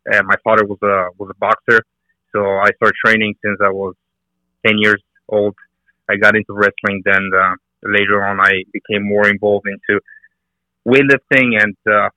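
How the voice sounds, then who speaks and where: A man's voice: fairly low pitch, pushed and bright, dry recording, rough recording. A middle-aged man with a Bulgarian accent, a guest on a podcast interview, talking earnestly with many hesitant fillers. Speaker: guest, fitness and nutrition coach.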